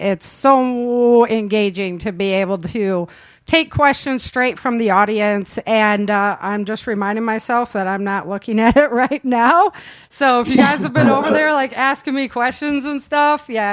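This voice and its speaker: A woman's voice: medium pitch, dry recording. A woman hosting a casual live interview chat, talking in a chatty, effusive way as she introduces performers.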